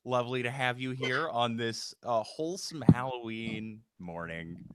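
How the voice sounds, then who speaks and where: A man's voice: mid-range voice, measured pace, fairly bright tone, smooth. A man hosting a casual live stream in a joking, rambling way. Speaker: host and script writer.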